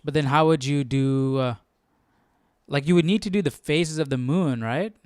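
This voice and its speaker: Clear, dry-miked man thinking aloud. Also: fairly high pitch, moderate pace, darkish tone, no echo. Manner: inquisitive, informal, talks to himself. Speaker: Bible study livestream host. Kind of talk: casual livestream study, thinking aloud and reading articles aloud.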